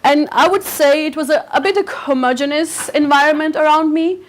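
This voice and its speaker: A woman's voice: high-pitched, steady tone, fairly quick. A woman in her forties, a native Turkish speaker from Bulgaria, telling a personal story at an event with hesitant fillers and restarts. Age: 40s. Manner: hesitant, frequent fillers and restarts, laughs often.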